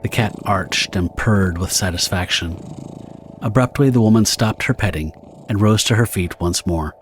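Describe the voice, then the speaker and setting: A man's voice: deep voice, moderate pace, a little hiss or hum. A man reading scripted narration for a horror audio drama. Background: guitar music.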